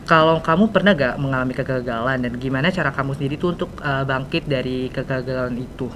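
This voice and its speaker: A man's voice: fast, a bit bright. A teenage male with a Jakarta Indonesian accent, hosting a casual interview in slangy, hesitant speech full of fillers. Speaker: podcast host. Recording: clear background noise, low rumble.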